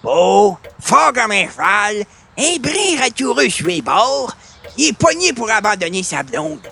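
A man's voice: sing-song, unhurried, pushed and bright, exaggerated voice. A man playing a touchy, folksy old Quebec grandfather who rambles and exclaims in a radio comedy. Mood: storytelling. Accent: Quebec French. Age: older. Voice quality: creaky, high-pitched.

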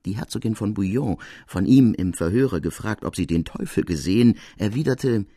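A man reading a classic literary tale as narrator, in measured, formal storytelling prose. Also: on the low side, animated, mellow, breathy edge, no room sound, clean studio sound.

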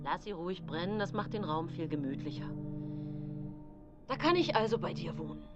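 A woman's voice: on the low side, expressive, moderate pace, natural voice. A woman playing a hearty soldier back from war in a radio drama, breezy and matter-of-fact. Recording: dull sound with music behind.

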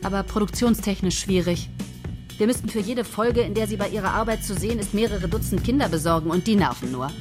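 An actress playing a commanding woman head writer who runs a meeting, brisk and bossy. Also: mid-range voice, lightly acted. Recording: studio radio play over music.

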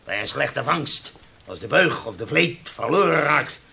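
An actor in a Dutch radio play, playing a haughty, hard-nosed shipowner who lectures and scolds indignantly. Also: male voice, mid-range voice, animated, measured pace, creaky, sharp tone, heavily acted. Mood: lecturing.

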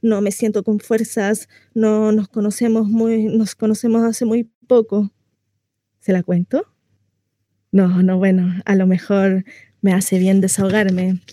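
A woman's voice: medium pitch, little pitch movement, a little slow, dark tone, no room sound, studio quality, lightly acted. A woman acting a chatty, naive, mistreated housewife in a comic stage monologue, confessional, rambling and often interrupting herself. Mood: coyly confessional. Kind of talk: theatrical comic monologue addressed to a listener.

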